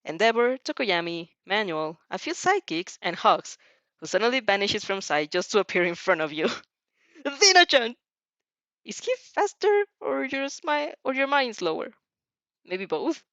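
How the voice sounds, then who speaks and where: A woman reading fan fiction aloud as narrator, giggly and animated, often tripping over words and restarting. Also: medium pitch, moderate pace, dry recording. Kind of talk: animated story reading with asides. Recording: dull lo-fi microphone.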